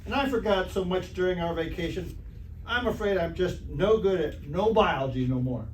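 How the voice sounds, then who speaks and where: Man acting as slow-witted teen boy athlete, halting, worried, slightly high voice, roomy hall. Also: natural voice. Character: dim, anxious teenage high school athlete. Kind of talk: 1940s radio comedy acting, staged revival. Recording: echoey hall from far off, faint noise.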